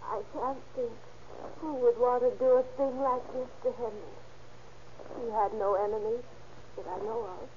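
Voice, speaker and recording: A woman's voice: a little high, fairly even intonation, normal speed, dark tone, close to an everyday voice. An actress playing an anxious, apprehensive woman in an old radio crime drama, in a dramatic manner. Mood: shaken, subdued. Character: anxious woman uneasy for a male friend. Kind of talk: 1940s-50s radio crime drama acting. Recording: dull, hissy old-time radio recording.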